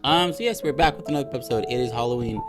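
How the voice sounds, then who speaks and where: A man co-hosts a casual podcast with a hoarse, scratchy voice. He talks in a chatty, teasing way and laughs easily, sitting in a middling pitch with soft music faintly behind him. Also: rapid-fire. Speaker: podcast co-host. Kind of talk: casual chat.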